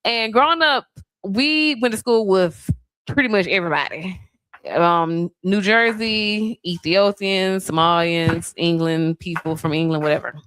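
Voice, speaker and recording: A woman from Atlanta with a deep Southern accent, hosting a cooking show and chatting with emphatic, lively delivery. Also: unhurried, clean tone, dry recording. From Atlanta, USA. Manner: animated, emphatic, laughs often. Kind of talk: casual chat while cooking.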